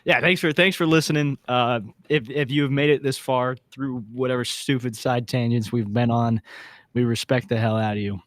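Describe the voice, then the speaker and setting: A man's voice: medium pitch, fairly even intonation, moderate pace, no echo, clean studio sound. A man hosting a podcast in casual panel chat, with a slangy, joking way of talking. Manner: slangy, wisecracking. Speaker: podcast host.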